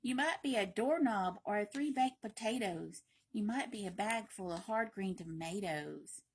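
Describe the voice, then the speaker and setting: A woman's voice: mid-range voice, animated, normal speed, breathy edge. A woman voicing an exuberant, bossy birthday bird from a children's storybook, urging and exclaiming emphatically. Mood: playful.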